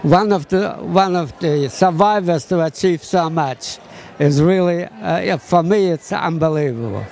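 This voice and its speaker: A man's voice: fairly high pitch, measured pace, gravelly, darkish tone. A man, giving short, plain answers in an outdoor interview. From Poland. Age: 80s.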